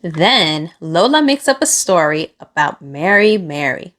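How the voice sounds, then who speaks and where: A woman reading a children's picture book aloud, with expressive, deliberate phrasing aimed at young listeners. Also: fairly low pitch. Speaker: children's storybook reader.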